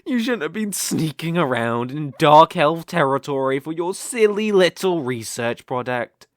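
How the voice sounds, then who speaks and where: A man with a British accent voicing a possessive, sadistic dark elf slave master in an intimate ASMR roleplay, taunting and condescending as he lingers on his words. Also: a little high, lively intonation, a little slow, no room sound, studio quality. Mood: angry, mocking.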